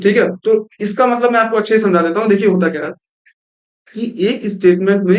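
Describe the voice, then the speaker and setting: A young man giving a screen-recorded programming lesson, explaining step by step and mixing in English terms. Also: high-pitched. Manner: explanatory, repeats "thik hai" and mixes in English terms. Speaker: programming tutor.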